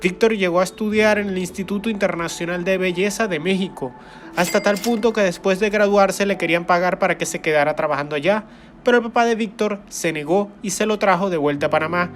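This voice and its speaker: A man reading scripted documentary narration in an even, informative way. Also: high-pitched, a little fast. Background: faint music.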